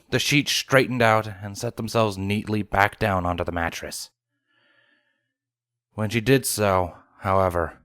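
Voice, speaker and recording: A man's voice: fairly low pitch, no room sound, studio quality. A man reading a dramatic scripted story as its narrator. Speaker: fan fiction narrator.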